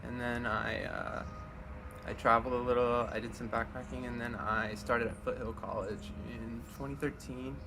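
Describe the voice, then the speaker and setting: A man in his twenties talks through his experiences in an easy, reflective way. His pitch sits in an ordinary middle range. Clear background noise runs under the recording. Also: level tone, normal speed. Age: 20s. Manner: thoughtful, loose, with "like" and "you know". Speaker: interviewee. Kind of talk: casual reflective talk.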